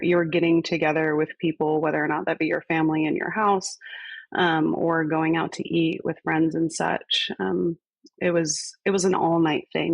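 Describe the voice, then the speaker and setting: A woman in her thirties talks as a podcast guest, relaxed and reflective, pausing often with fillers. Her voice carries a gravelly, creaky edge. The recording is dry and a bit dull in tone. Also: sits low, dry recording. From grew up on a base in Spain. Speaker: guest, fire department fitness coordinator. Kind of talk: conversational podcast interview.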